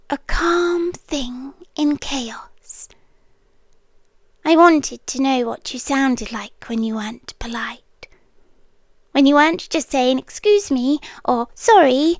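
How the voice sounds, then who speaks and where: A British woman playing an obsessive, intense stalker girl in an intimate ASMR roleplay, speaking in a hushed, deliberate voice that often slips into whispers. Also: high voice, no echo, clean studio sound. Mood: yearning, controlled menace. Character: obsessive yandere stalker girl, intense, soft-spoken, longing, menacing. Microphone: close microphone.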